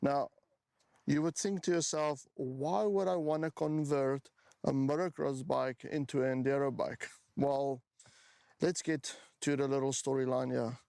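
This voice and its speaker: A South African man in his forties with a gravelly, slightly creaky voice at an ordinary middle pitch. He chats loosely to the camera as he shows off his bike, often stopping on an "um" before carrying on. The sound is dry and close, with no room around it. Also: fairly even intonation, a little slow, a little mellow, no echo. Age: 40s. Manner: chatty, with frequent ums and restarts. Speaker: motorbike owner showing his build. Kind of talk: casual walkaround talk to camera.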